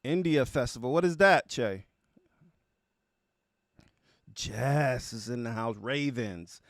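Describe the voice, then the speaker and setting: A man's voice: mid-range voice, slow pace, dry recording, clean studio sound. A man hosting a livestream, chatting casually and slangily as he greets viewers by name. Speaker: livestream host of music reaction show.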